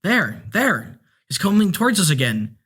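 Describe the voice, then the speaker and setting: A man's voice: high voice, very animated, moderate pace, fairly bright tone, husky, pitched above the performer's own voice, exaggerated voice. A man reading a story aloud, voicing a worried, respectful male chauffeur. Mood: alarmed. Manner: respectful, calls the listener sir.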